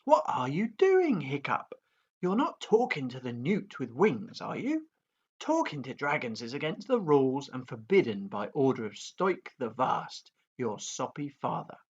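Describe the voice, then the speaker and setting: A man's voice: medium pitch, huge pitch swings, measured pace, breathy edge, dull audio, exaggerated voice. A man voicing a sneering Viking bully boy.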